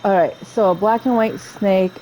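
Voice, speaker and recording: A woman giving casual, chatty gameplay commentary, excitable and often interrupting herself. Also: medium pitch, moderate pace, slight background noise, low-quality recording. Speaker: video game streamer playing through a story quest.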